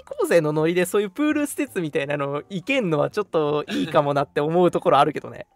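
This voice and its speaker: A young man co-hosting a radio show, chatting casually in an animated, talkative way. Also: a little high, fast talker, no echo. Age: young adult. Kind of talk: casual chat.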